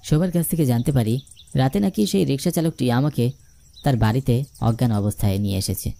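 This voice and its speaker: A man's voice: medium pitch, brisk, creaky, mellow. A man narrating a scripted first-person ghost story in a dramatic, suspenseful storytelling manner.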